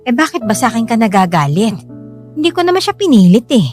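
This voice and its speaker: An actress playing a devoted, hard-pressed wife and mother who is quick to bristle, with expressive, emphatic delivery in a radio drama. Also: on the high side. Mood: indignant. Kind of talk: Filipino radio drama acting. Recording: music bed under the voice.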